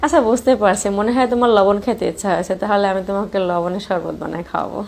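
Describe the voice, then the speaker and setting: A woman's voice: mid-range voice, very fast, vocal fry, a little mellow. A woman playing a coy, evasive married sister-in-law in a colloquial phone-call drama, chiding as she talks. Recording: faint background noise.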